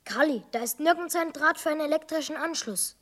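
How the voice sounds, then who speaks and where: A performer playing a curious but nervous child sidekick in a children's radio play, hesitant, with a husky, breathy voice. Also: high-pitched, a little fast, fairly bright tone. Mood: surprised, curious.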